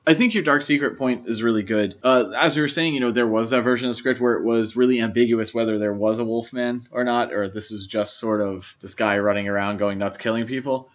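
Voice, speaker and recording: A man's voice: medium pitch, fairly even intonation, brisk, hardly any pauses. A man co-hosting a podcast, casually discussing film in an enthusiastic, explanatory way. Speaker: podcast co-host. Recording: slightly dull, lo-fi podcast audio.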